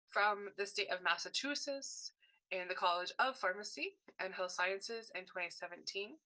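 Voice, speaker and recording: A woman's voice: mid-range voice, sharp tone, low-quality recording. A woman voicing a deaf presenter's signed workshop talk in short, broken phrases, often correcting herself mid-sentence. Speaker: sign language voice interpreter.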